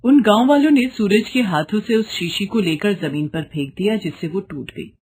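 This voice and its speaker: A woman's voice: mid-range voice, normal speed, a little mellow, husky. A woman reading scripted fairy-tale narration as a storyteller.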